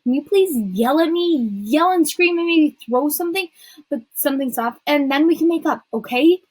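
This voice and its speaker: A woman's voice: a little high, expressive, moderate pace, breathy edge. A woman voicing a talkative, dramatic schoolgirl in an audiobook, emphatic and pleading with her best friend. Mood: insistent.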